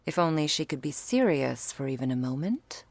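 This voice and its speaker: A woman playing a stern but devoted vampire lover in an ASMR roleplay, speaking in a formal, measured, affectionate way. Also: sits low, very animated, creaky, no echo, exaggerated voice. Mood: tender, reassuring.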